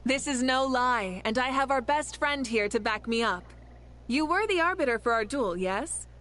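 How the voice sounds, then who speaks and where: A woman's voice: high voice, lively intonation, normal speed, a bit bright. A woman voicing a proud, composed and gracious warrior ruler in a video game, with stately, assured speech. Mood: calm assurance.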